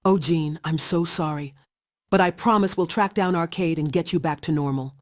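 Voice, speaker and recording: A woman as a lower-voiced, caring heroine. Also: moderate pace, dry recording, clean studio sound, lightly acted. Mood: worried sympathy. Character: caring, earnest female mutant heroine. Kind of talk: video game voice acting.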